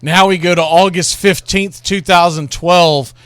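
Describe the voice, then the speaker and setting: A man's voice: high-pitched, steady tone, measured pace, background noise. A man hosting a radio show, talking casually and enthusiastically about classic baseball calls like a storyteller.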